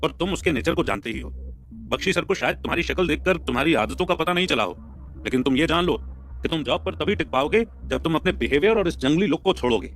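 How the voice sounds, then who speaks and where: A man's voice: a little high, lively intonation, quick pace, fairly bright tone. A man voicing a stern middle-aged father-in-law and boss, grave and admonishing, in an audio story.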